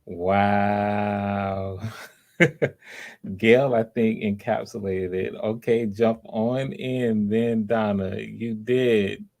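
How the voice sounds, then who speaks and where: Low-voiced, gravelly man hosting online. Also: fairly even intonation, a little mellow. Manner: effusive encouragement, repeats phrases, halting. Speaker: audition host, writer-director. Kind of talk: casual live-stream chat. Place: online video call.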